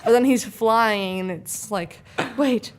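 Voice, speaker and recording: A woman with a slightly high voice chats casually on a podcast panel. She is playful, jumping between her own talk and acted-out quotes. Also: slow pace. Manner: playful, acts out dialogue. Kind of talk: casual group chat quoting cartoon lines.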